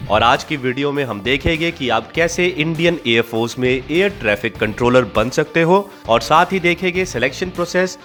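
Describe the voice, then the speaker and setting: A man's voice: medium pitch, fairly bright tone. A man with an Indian accent giving a scripted, instructional explainer voice-over as a channel host. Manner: instructional, mixes Hindi with English terms. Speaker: host of an exam-preparation channel. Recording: voice-over with music behind. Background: music.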